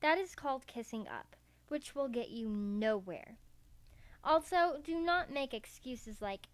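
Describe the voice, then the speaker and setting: A woman's voice: sits high, expressive, a little slow, no echo. A woman narrating a scripted how-to tutorial in a careful, step-by-step way. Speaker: voice acting tutorial presenter. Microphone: USB karaoke microphone.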